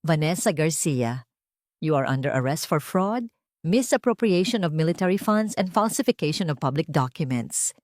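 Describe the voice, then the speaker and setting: A woman's voice: deep voice, expressive, normal speed, a little mellow, no room sound, studio quality. A woman voicing a stern male military police officer, formal and authoritative, in an audio story.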